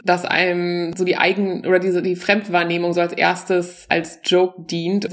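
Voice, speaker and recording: A woman in her forties, a stand-up comedian, talking loosely and casually about her work and mixing in English words. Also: lowish voice. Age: 40s. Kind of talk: casual interview talk.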